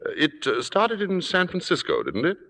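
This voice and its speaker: An actor playing a suave, worldly theater critic who speaks in urbane, deliberate, formal phrases in an old-time radio drama. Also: male voice, high-pitched, wildly swooping pitch, bright, forceful tone, heavily acted. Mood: probing, silky. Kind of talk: 1950s radio drama acting and narration. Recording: old radio broadcast, reverberant, music behind.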